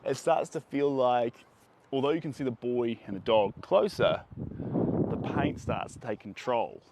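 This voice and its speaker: A young man teaching painting on a live stream, enthusiastic and chatty, his voice a bit strained by the wind, recorded on a phone outdoors on a beach. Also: on the low side. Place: sandy beach outdoors on a sunny day. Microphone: phone held at arm's length. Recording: phone audio with clear wind and outdoor noise.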